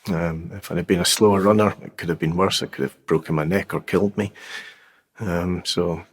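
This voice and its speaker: Man, fifties, deep husky voice, halting, lots of fillers, personal interview. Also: steady tone. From Scotland. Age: 50s. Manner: unhurried, halting, many fillers and restarts. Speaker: guest sharing his testimony.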